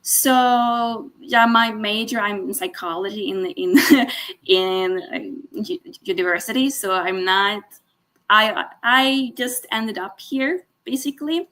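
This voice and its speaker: A woman's voice: on the high side, takes it slowly, a bit bright. Woman, 30s, hesitant, lots of fillers, laughs often, video call guest. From Sweden. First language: Swedish. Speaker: guest, sales director. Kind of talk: casual interview.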